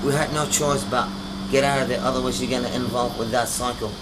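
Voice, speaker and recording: A middle-aged man from Iraq, talking in a casual interview in halting, broken English with many restarts. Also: medium pitch, fairly even intonation. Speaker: musician guest. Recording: heavy background noise.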